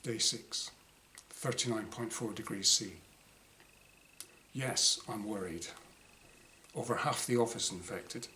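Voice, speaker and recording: A man reading his own first-person short story dramatically, in clipped diary-like phrases with pauses. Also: on the low side, sharp tone. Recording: clearly noisy room ambience.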